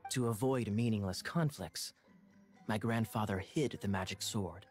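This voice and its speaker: A man voicing a sly young knight captain who speaks in a smooth, theatrical, playfully mocking way. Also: fairly low pitch, measured pace, a little mellow, soft background music, natural voice. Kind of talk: game cutscene dialogue.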